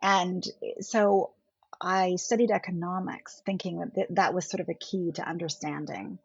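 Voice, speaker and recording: Middle-aged woman, German first language, husky voice, measured guest speaker, dull lo-fi podcast sound. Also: fairly low pitch, steady tone, no echo. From India. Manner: thoughtful, measured, often rephrasing. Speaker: political scientist guest. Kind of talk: podcast interview.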